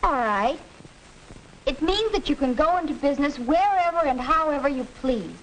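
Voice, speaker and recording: A young American woman playing an earnest, argumentative high school girl, emphatic and didactic, in a 1940s educational film. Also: expressive, normal speed, a bit bright, low-quality recording. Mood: explaining. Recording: hissy 1940s film soundtrack.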